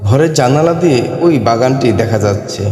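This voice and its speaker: A man's voice: medium pitch, lively intonation, brisk, darkish tone. Male story narrator in heavy echo. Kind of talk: scripted cartoon story narration. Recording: echoing voice with long reverb.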